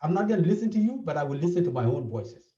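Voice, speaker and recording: South Sudanese man with a lively, earnest voice. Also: a little high, racing. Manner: earnest, explaining, repeats key points. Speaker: therapist. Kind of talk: interview. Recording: dull, echoey, from a distance.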